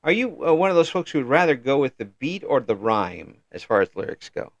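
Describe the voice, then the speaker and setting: A chatty middle-aged man hosting an interview, voice at an ordinary pitch. He restarts his sentences and keeps tossing in agreeable little yeses. The sound is dry and a bit dull. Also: big pitch swings, a little fast, vocal fry, dry recording. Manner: chatty, frequent restarts and affirming "yeah"s. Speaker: interview host. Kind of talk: casual interview.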